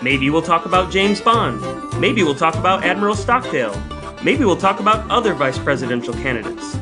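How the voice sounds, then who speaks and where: A man's voice: a little high, sing-song, brisk, over music. A man co-hosting a podcast, moving between scripted narration and banter in a wry, playfully formal and wordy manner. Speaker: podcast co-host.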